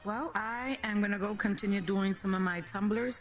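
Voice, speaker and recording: Raspy-voiced mother chatting and laughing. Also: medium pitch, steady tone, normal speed, soft background music. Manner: warm, laughs often, short replies. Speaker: guest, host's mother. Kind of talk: casual chat.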